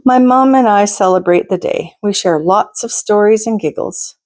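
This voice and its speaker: A woman reading a picture book aloud to children as a storytime host, in a deliberate, expressive manner with asides to her listeners. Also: medium pitch. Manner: deliberate, expressive, pauses to ask listeners questions.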